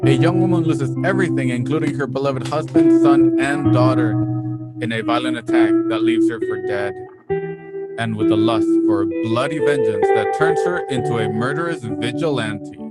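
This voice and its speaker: A man co-hosting a casual show, giving product pitches and scripted sponsor reads. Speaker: co-host and sponsor reader.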